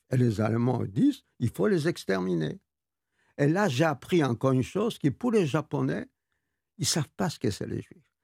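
An older man whose first language is Yiddish, a guest author telling history in an animated way and often repeating words. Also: medium pitch, creaky, breathy edge, no room sound, studio quality. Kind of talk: storytelling interview answers.

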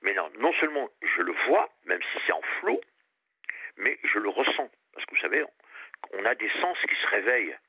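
A man's voice: lowish voice, sing-song, breathy edge, dry recording. Older male caller on a muffled phone line. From France, Périgord, grew up on Réunion. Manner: earnest, halting, repeats words. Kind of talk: phone-in radio call.

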